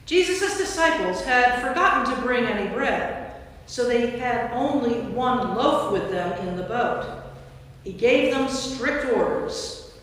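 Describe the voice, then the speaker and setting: A woman's voice: on the high side, lively intonation, slow, a bit bright. A woman giving scripted narration for a staged scripture reading in a church. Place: inside a large church. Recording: distant, very echoey church hall.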